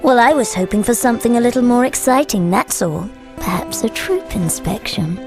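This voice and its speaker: A woman's voice: on the high side, expressive, moderate pace, music in the background. A woman playing a spoiled, playful young noblewoman in a video game, bored and sarcastic, drawling her words. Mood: bored, teasing.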